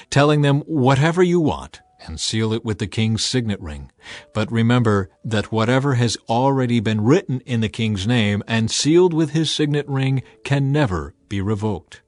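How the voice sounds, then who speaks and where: A man voicing an angry, commanding king in a dramatized Bible reading, declaiming forcefully. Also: medium pitch, lively intonation, moderate pace, dry recording, close to an everyday voice. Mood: authoritative.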